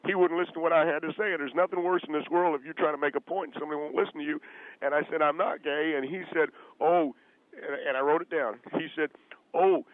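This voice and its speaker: A man voicing a defensive, humorless radio caller who argues in a halting, earnest, indignant way over a telephone line. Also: a little high, fairly even intonation, no echo, pitched above the performer's own voice. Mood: defensive, aggrieved. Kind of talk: comedic phone-in radio act. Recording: tinny, band-limited phone line.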